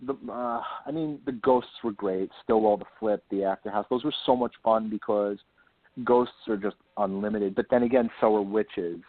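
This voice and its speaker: A man speaking as an author guest in a casual interview, enthusiastic and rambling, repeating words for emphasis, with a slightly husky voice. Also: lowish voice, moderate pace. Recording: lo-fi phone-like line, roomy echo.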